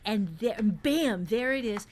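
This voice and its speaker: A woman's voice: fairly high pitch, lively intonation, slow pace. A woman co-hosting a writing podcast, teaching conversationally and emphatically, acting out questions as she explains. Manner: emphatic, dramatizes imagined questions.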